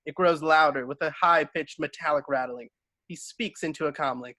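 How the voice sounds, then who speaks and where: A man with a bright, forceful and fairly high voice narrates a screenplay. He reads stage directions and clipped scene headings into a close, very dry home microphone. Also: normal speed, dry recording. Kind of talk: scripted narration.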